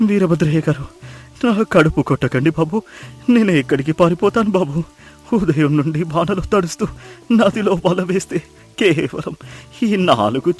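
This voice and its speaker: A man's voice: sits high, husky. A man playing a poor, humble fisherman in a moral story, woeful and desperate. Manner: pleading, submissive, woeful. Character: poor, humble fisherman begging his creditor for mercy. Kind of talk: dramatised moral story acting.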